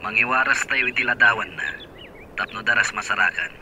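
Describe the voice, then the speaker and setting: A man's voice: mid-range voice, fairly even intonation, a little fast. An actor playing a practical, reassuring man in a radio drama, heard through a telephone filter. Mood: concerned, practical. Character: practical, reassuring adult man helping a search. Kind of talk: Ilocano radio drama acting. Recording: narrow phone sound, faint noise.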